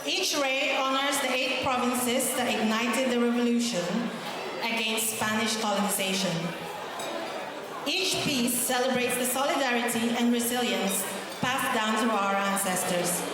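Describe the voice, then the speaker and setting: A woman in her thirties from the Philippines giving an earnest, warm prepared speech as a founder at a gala dinner, into a PA microphone. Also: fairly high pitch. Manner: warm, earnest, with light self-deprecating laughs. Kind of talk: prepared speech to a banquet crowd. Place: large hotel ballroom. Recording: echoey hall with crowd chatter.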